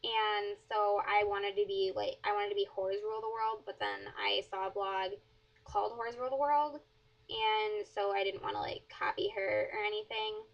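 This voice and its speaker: A teenage girl from chats through follower questions, her light wrapped in a flat, level tone. She rambles, leaning on "like" and "um" as she goes. The audio is dull and lo-fi, like a cheap webcam mic. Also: mid-range voice, normal speed. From southern Wisconsin, USA. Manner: rambling, many fillers and "like". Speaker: blogger answering questions. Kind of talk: casual Q&A vlog.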